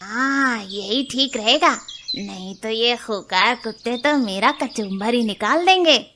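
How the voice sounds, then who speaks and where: Cartoon wolf, played by a woman: cowardly schemer, wheedling, very high voice, faint birdsong behind. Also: animated, a little slow, pushed and bright, a little hiss or hum. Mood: worried. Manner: wheedling, excuse-making. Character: cowardly, sly wolf making excuses to a lion. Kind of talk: animal moral-story cartoon.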